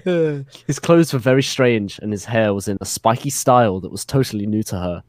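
A man's voice: on the low side, a little fast, a little mellow, no room sound. A man narrating fan fiction as a dramatic reading, giggly and often close to breaking up. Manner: giggly, keeps breaking into laughter.

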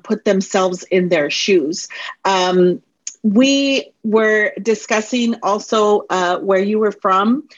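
Brief: middle-aged female host, eager, um-heavy questions, slightly muffled sound. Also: mid-range voice. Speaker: interviewer host. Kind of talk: casual interview questions.

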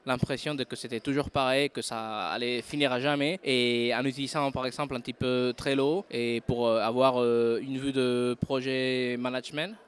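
A man's voice: mid-range voice, flat delivery, moderate pace, few pauses, clear, resonant tone. A man from Spain with a Spanish accent, a non-native speaker giving a casual interview, hesitant and full of fillers while he searches for words. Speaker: interview guest, recruiter.

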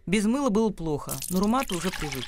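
A man's voice: high-pitched, sing-song, fast, noisy background. A man reading scripted literary narration as the narrator of a radio drama.

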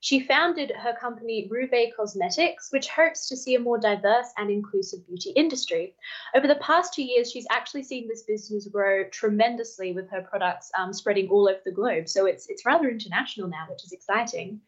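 A woman's voice: medium pitch, brisk, muffled recording. A woman co-hosting a radio show, interviewing in a chatty, informal way. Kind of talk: conversational interviewing.

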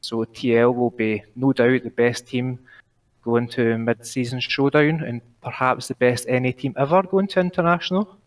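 A nervous man with a Glaswegian accent, calling in to give an esports prediction, talking fast while trying to slow down and pronounce clearly. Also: mid-range voice, steady tone, far from the mic. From Glasgow, Scotland. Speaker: caller.

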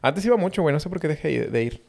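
A young man with a Mexican accent, co-hosting a podcast in relaxed, slangy, profane banter. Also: on the high side, rapid-fire, vocal fry. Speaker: podcast co-host. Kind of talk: casual chat.

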